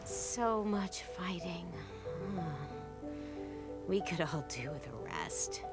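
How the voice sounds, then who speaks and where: A weary female game villager with a lowish voice. She draws her words out slowly, with expressive swings in pitch. Loud music plays behind her. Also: heavily acted. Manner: weary, drawn-out. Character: war-weary, sighing woman villager. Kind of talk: video game voice acting.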